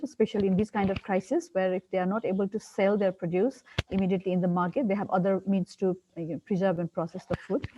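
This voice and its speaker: Mellow Nepali-accented woman, halting delivery. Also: lowish voice. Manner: halting, repeats words. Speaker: government official, forum participant. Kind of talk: unscripted conference intervention. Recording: dull lo-fi online call, background noise.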